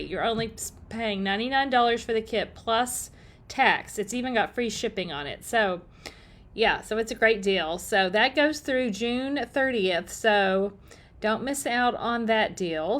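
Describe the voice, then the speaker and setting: A middle-aged woman, slightly hoarse, chatting upbeat through a casual live crafting demo and greeting viewers by name. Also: fairly high pitch, expressive, measured pace, fairly bright tone. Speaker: paper-crafting demonstrator.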